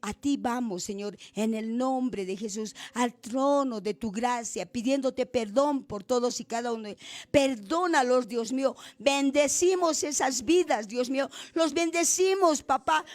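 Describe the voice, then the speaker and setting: A woman's voice: high-pitched, measured pace. A woman leading a fervent spoken prayer, pleading and repeating her invocations between every phrase. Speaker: prayer leader.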